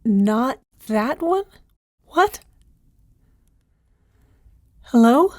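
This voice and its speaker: A woman's voice: fairly high pitch, very animated, drawn-out words, a little mellow, dry recording, clean studio sound. A woman hosting a podcast as herself, talking informally in a hesitant, conversational, wry way. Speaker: podcast creator and host, playing herself. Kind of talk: informal podcast talk in an audio drama.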